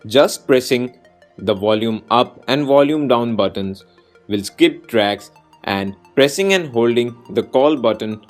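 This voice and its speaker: A man presenting a scripted product review as a video reviewer, in narration style. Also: medium pitch. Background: background music.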